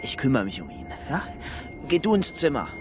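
A man's voice: medium pitch, wildly swooping pitch, fairly quick, loud music behind the voice. An actor playing a young ex-convict father-to-be in a radio crime drama, terse and hard-boiled, partly narrating his own story. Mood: evasive, tense.

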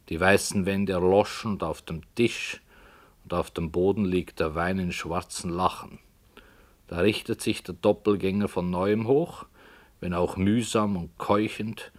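An actor playing a grave author who narrates his dark tale in a radio drama, in a solemn, measured way. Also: lowish voice.

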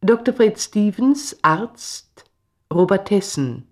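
A radio announcer reading scripted play credits in a formal, deliberate way. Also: medium pitch, animated, darkish tone.

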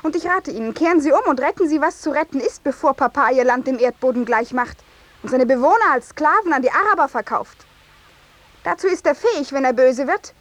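Woman playing a high-voiced, spirited general's daughter. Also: brisk, no room sound, close to an everyday voice. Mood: proud defiance. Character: spirited young general's daughter, haughty, naive, patriotic. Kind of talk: 1950s German radio play acting.

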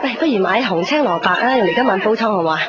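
A woman's voice: medium pitch, lively intonation, fast, lightly acted. An actress playing a nagging, self-pitying, stubborn middle-aged mother with a Hong Kong accent in a radio drama. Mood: fussing. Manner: fussy, reproachful. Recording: dull radio recording, noisy street ambience. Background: busy crowd ambience.

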